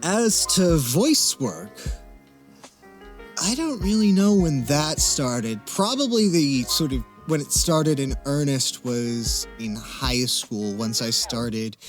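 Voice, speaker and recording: A young man who works as a voice actor, answering casually as an interview guest in an articulate way with wry humor and thoughtful pauses. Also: mid-range voice, expressive. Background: music.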